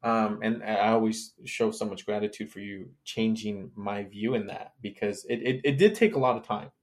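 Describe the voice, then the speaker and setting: A man co-hosting a casual podcast chat, agreeing often and restarting his sentences with repeated words. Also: lowish voice. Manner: agreeable, halting, repeats words when starting. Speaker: podcast co-host.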